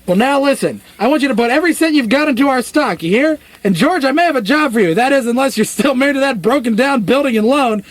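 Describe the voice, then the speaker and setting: Man acting a high-pitched, brash young wheeler-dealer. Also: expressive, quick pace, few pauses. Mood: excited, pushy. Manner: hearty, boastful, pushy. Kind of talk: amateurish radio play parody.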